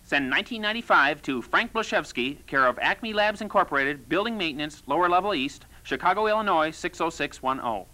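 A high, piercingly bright announcer voice, done by a man. It delivers parody commercial instructions briskly and formally. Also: expressive, poor audio.

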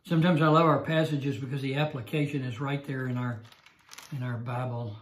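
An older man teaching a Bible study class, slow and emphatic, repeating his key phrases. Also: mid-range voice, fairly even intonation, reverberant. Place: small room indoors. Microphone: lapel mic.

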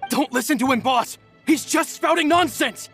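Loyal sidekick goon in a game, high urgent voice, protective, music blaring behind. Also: male voice, normal speed. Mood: urgent, defiant. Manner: urgent, emphatic. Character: loyal, protective henchman devoted to his female boss. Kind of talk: video game voice acting. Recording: loud music under the voice.

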